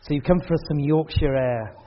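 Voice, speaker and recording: High-voiced guy hosting a church night, joking with the crowd, kinda dull lo-fi sound. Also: normal speed. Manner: chatty, joking with the audience. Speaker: event host and speaker. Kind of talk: casual chat at a church event. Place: hall with an audience.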